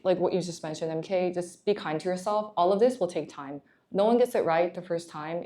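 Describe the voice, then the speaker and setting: A woman's voice: fairly low pitch, mellow. A young woman from Korea, a management consultant, giving candid, encouraging career advice in a chatty way.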